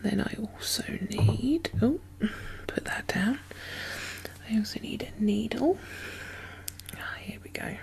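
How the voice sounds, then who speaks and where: ASMR roleplay: woman, unhurried and soothing caregiver, hushed whispery delivery. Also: on the high side, lively intonation, gravelly. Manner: gentle, murmured, reassuring, soothing.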